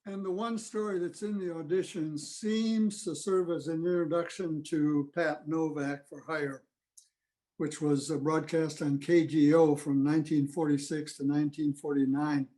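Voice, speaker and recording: A man's voice: on the high side, level tone, a little slow, mellow. A man giving an informal talk as presenter at a club meeting over a video call. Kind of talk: informal talk with audio clips.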